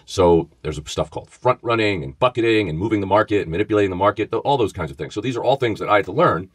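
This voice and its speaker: A middle-aged man with a strong Bronx New York accent tells his story with animated energy. His voice sits very low and sounds close and dry. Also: quick pace, slightly rough, no room sound. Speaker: former FBI agent, podcast co-host. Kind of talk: casual storytelling conversation.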